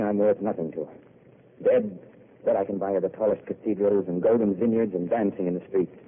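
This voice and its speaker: An actor playing a weary, tipsy wanderer-poet with florid, theatrical speech in an old radio drama. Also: male voice, fairly low pitch. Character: weary, drunken male poet-wanderer, eloquent and romantic. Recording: tinny old radio broadcast.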